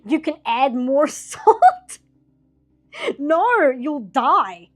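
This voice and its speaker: A chatty woman from Britain talks while she draws, wandering from topic to topic and laughing often. Her voice sits a little high and is turning slightly hoarse late at night. Faint music plays quietly behind her. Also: very animated, extremely slow. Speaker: streamer drawing on stream. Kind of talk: casual chat while drawing.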